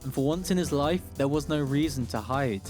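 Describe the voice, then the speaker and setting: Male narrator, slightly high voice, theatrical rhyming storybook read, music bed behind. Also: fairly quick, darkish tone.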